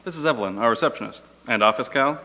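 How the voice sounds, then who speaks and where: A man playing an upbeat, loyal dental office colleague in an audio drama, talkative and flattering. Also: medium pitch, quick pace. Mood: friendly, upbeat. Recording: reverberant hall.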